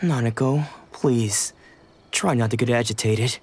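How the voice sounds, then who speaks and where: A man's voice: mid-range voice, a little slow, slight background noise, close to an everyday voice. A man voicing a polite, deferential young disciple in an anime game, hesitant and easily flustered. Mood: soothing.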